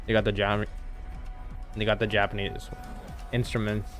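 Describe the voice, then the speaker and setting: Chatty low-voiced man reacting to music. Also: moderate pace. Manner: excitable, chatty, hums along to music. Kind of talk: casual reaction commentary. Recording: music playing in background.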